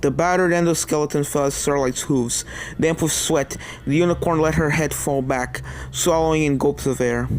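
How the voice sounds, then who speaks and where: A man's voice: a little high, fairly even intonation, moderate pace, a little mellow, poor audio. A man reading a horror story aloud as a dramatic narrator.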